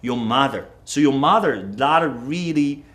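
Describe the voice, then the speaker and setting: A middle-aged man hosts a TV studio interview in English, his native tongue being Chinese. His voice sits at an ordinary middle pitch. He pauses often with fillers while he builds his questions. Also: lively intonation, a bit bright. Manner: halting non-native English, many fillers. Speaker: TV talk show host. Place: TV studio set.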